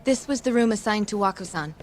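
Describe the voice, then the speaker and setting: A woman's voice: medium pitch, animated, quick pace, a little hiss or hum, close to an everyday voice. Woman as a polite, reserved young medical professional. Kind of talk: English video game dub acting.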